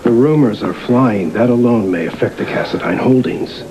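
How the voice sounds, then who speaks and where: An actor playing a controlling, wealthy middle-aged uncle with a formal, measured, coolly menacing manner in a television soap. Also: male voice, medium pitch, lo-fi sound, noisy background, close to an everyday voice. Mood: stern, cold. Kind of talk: television soap opera acting. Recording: TV drama audio under loud music.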